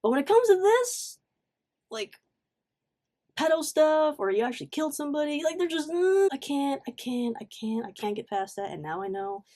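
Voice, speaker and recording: A woman giving a casual, confessional storytime as a vlogger, animated and emphatic, slangy, acting out what people said. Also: sits high, moderate pace. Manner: animated, emphatic, acts out reported dialogue; informal slangy talk with swearing. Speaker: vlogger telling a personal story.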